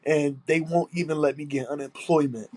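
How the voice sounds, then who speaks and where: A man's voice: a little high, fairly even intonation, moderate pace, a little mellow, no echo. Congested, aggrieved man. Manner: aggrieved, emphatic, repeating himself, swearing. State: stuffy nose, congested. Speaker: podcast host. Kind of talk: solo monologue podcast.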